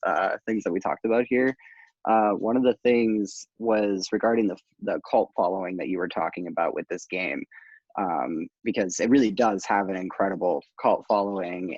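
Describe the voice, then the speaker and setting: A man's voice: low voice, slightly rough, no room sound. A man in his twenties asking a thoughtful, casual question as a student on a video call. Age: 20s. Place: small room at home, indoors. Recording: dry, dull video-call audio.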